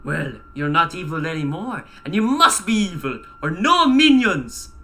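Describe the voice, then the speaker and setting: Melodramatic animated male twin, female storyteller performing, weird accent, forceful tone, eager delivery. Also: on the low side, measured pace, heavily acted. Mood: earnest dramatic. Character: eager, dramatic villain's twin brother in a cartoon.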